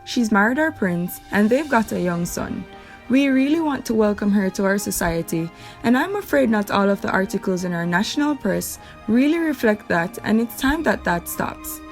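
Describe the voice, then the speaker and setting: A woman reading scripted entertainment news as a narrator. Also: medium pitch. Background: background music.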